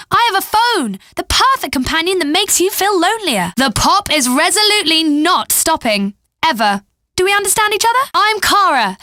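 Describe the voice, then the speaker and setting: A woman voicing a perky, sardonic young pop radio DJ in a video game, breezy and tongue-in-cheek with deadpan asides. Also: very high-pitched, dry recording, studio quality, exaggerated voice. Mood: playful, needy. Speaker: radio DJ host. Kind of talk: satirical game radio DJ patter.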